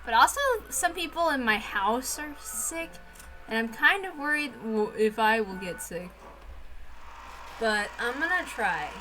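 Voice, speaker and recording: High-voiced woman reading a story aloud. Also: expressive, measured pace, pushed and bright, faint music behind, rough recording. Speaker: reader of a visual novel. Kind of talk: casual chat and story narration.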